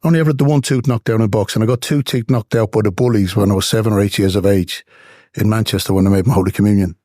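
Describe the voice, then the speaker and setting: An older former boxer from Dublin with an Irish accent, telling stories emphatically in an interview. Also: male voice, fairly low pitch, fairly even intonation, rapid-fire, warm tone, dry recording, studio quality. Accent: Dublin Irish with English traces. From Ireland. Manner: emphatic, repetitive storytelling.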